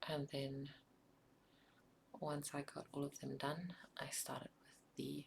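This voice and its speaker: A South African woman whose first language is Afrikaans, narrating a craft project in a soft, often whispered ASMR style with frequent ums. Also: low voice, little pitch movement, slow, creaky, background noise. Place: home craft table.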